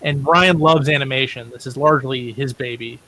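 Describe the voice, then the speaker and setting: Casting: male comics writer guest, bright, crisp-edged voice at middle pitch, casual chat, slight hiss behind. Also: moderate pace, rough recording. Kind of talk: casual interview chat, plugging projects. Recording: faint background hiss.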